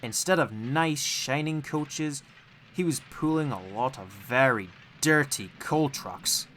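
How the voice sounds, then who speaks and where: A man's voice: mid-range voice, slow, a bit bright, a little hiss or hum. A man reading scripted children's storybook narration as an audiobook narrator.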